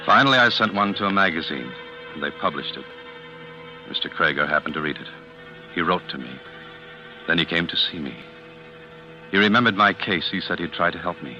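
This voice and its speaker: An actor playing a bitter, brooding ex-convict in 1940s radio drama style. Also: male voice, deep voice, expressive, a little fast, long pauses, music in the background. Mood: brooding, confiding. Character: bitter, brooding male ex-convict turned clerk. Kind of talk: 1940s radio drama acting, confiding first-person narration. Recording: muffled old radio recording.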